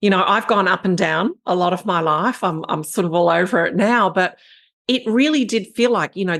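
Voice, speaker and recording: A woman hosting a podcast, chatting in a rambling, effusive way as she introduces and questions her guest. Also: fairly low pitch. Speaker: podcast host and shamanic leadership coach. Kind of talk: casual interview chat.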